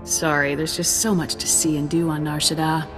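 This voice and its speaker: Game hero lieutenant, played by a woman: cool, steady military delivery, clear music underneath. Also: deep voice, normal speed, close to an everyday voice. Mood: wry, confident. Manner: calm, matter-of-fact. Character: cool, confident soldier lieutenant of the Republic. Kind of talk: video game voice acting. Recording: music behind the voice.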